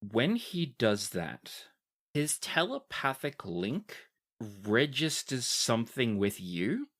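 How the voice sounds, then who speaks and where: A game master narrating a tabletop game with deliberate, dramatic scene-setting. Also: mid-range voice, big pitch swings, a bit bright.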